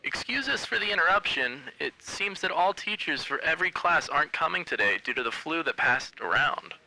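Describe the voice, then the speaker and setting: Man putting on a bright, creaky school announcer. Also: fairly high pitch, lively intonation, brisk, no room sound, pitched above the performer's own voice, heavily acted, rough recording. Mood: formal, apologetic. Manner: formal, announcing. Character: polite school announcer over loudspeaker.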